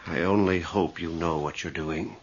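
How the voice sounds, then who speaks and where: An actor playing a solemn, disapproving priest in a radio drama, grave, earnest and admonishing. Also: male voice, low voice, normal speed, breathy edge. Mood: resigned. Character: solemn, disapproving male priest, fearful of evil. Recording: dull old radio recording.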